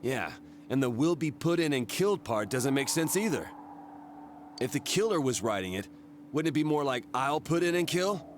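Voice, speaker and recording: Man as a lively, skeptical teenage boy. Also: mid-range voice, normal speed, slight background noise, natural voice. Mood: puzzled. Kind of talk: English video game dub acting. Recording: game music behind the voice.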